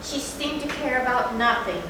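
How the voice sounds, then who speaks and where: A woman's voice: fairly high pitch, moderate pace, lightly acted. A woman giving a subdued, solemn dramatic narration of a gothic story on stage. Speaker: narrator in a readers' theatre. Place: live theatre stage, recorded from afar. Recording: faint, distant, echoey, noisy hall.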